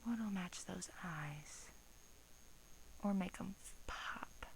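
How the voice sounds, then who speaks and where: A woman voicing a smug, menacing female vampire in a soft-spoken ASMR roleplay, teasing and slipping into whispers. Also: on the low side, very slow, a little hiss or hum, rough recording. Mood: playfully menacing.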